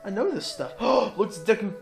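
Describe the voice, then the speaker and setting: A man giving casual, excitable, jokey game commentary, sometimes slipping into mock voices. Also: high-pitched, normal speed, vocal fry, over music. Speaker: Let's Play commentator. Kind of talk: casual banter over game cutscenes.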